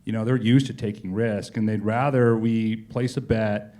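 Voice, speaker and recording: A man's voice: on the low side, steady tone, normal speed, warm tone. A man speaking as a company executive in a casual on-stage interview, telling colloquial stories with frequent asides.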